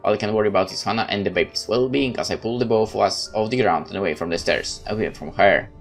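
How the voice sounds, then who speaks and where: A man's voice: fairly low pitch, soft background music. A man giving a theatrical first-person reading of a horror visual novel. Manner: measured, theatrical narration. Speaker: game let's-play narrator.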